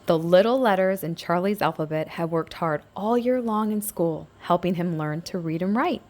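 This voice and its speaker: A woman's voice: on the low side, moderate pace, a little mellow. A woman narrating a scripted picture book aloud for young children, as a storybook reader.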